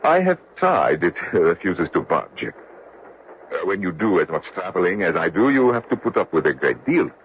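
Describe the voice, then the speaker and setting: A man's voice: sits low, big pitch swings, moderate pace. An actor playing an affable, talkative traveling salesman and war veteran in an old radio drama, polite and a little hesitant. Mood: affable, fussy. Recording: muffled radio drama recording with noise.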